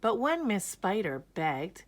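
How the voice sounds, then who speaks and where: A woman reading a children's story aloud in a measured, expressive storytelling manner. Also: mid-range voice. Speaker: picture book reader.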